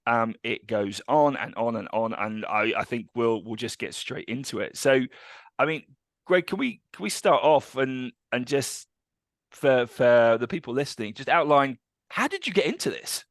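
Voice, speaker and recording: A man hosting a casual podcast interview, chatty and halting with many restarts and fillers. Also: medium pitch, lively intonation, no room sound, studio quality. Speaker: podcast host.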